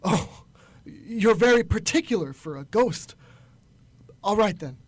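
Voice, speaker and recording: A crotchety old man played by an actor in a radio drama, scoffing one moment and fearful the next. He stammers and blusters, and his pitch moves in wide, animated swings. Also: a little slow, dry recording. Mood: defiant, dismissive. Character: crotchety old male miser, frightened and scoffing.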